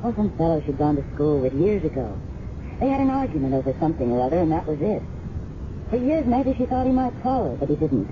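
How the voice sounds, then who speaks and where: A woman's voice: deep voice, very animated, quick pace. Troubled female roommate, American, candid, hesitant, confiding, 1970s radio drama, muffled noisy recording. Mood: shaken, wistful. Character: shaken, candid female roommate of a murdered woman.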